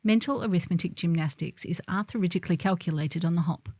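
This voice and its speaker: A woman's voice: lowish voice, a little fast, a little mellow, no echo. Middle-aged woman, dry close recording. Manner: wry, theatrical storytelling with acted-out quotes. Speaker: columnist reading own humorous article. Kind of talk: scripted narration of a humorous first-person column.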